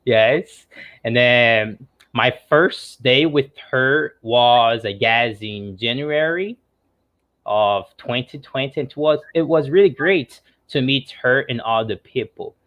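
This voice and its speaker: Slow-talking man. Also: medium pitch, highs cut off. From Brazil. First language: Portuguese. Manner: earnest and reflective. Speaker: regular participant. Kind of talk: casual self-introduction. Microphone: computer mic on a video call.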